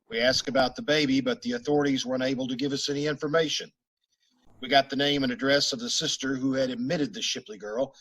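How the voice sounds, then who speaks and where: An actor playing a clipped, matter-of-fact police sergeant who also narrates, in an old-time police radio drama. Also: male voice, mid-range voice, fairly bright tone, natural voice, rough recording.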